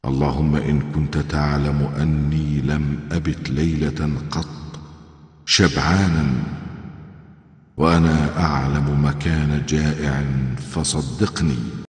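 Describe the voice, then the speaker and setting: A man voicing a patient, devout, suffering old prophet in a cartoon, solemn and formal, with a deep husky voice. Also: fairly even intonation, slow pace, darkish tone, big-room sound, full character voice. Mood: fervent prayer.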